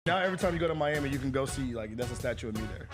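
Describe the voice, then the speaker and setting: A man's voice: medium pitch, lively intonation, very fast, over music. A man chatting casually as a sports show panelist. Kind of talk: casual chat.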